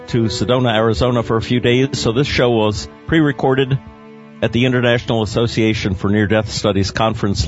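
A man's voice: lowish voice, lo-fi sound. A man hosting a radio interview show, asking questions in an easygoing way with some fillers. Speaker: radio host.

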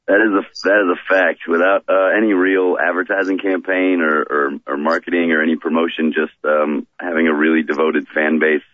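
Very deep-voiced man on a phone line. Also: dry recording. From Florida, raised in rural Maryland. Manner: relaxed, unhurried, with many fillers and restarts. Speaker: touring musician guest. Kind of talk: radio interview by phone.

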